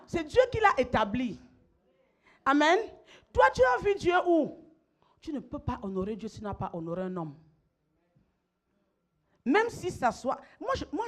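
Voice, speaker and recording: A middle-aged woman pastor, preaching emphatically, repeating phrases and calling on her listeners to respond. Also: on the high side. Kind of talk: sermon.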